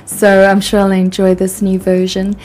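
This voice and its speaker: A woman's voice: medium pitch, monotone, normal speed, darkish tone, faint music behind, poor audio. A South African woman hosting an interview, friendly and upbeat, with many ums. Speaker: radio podcast host. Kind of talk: casual interview chat.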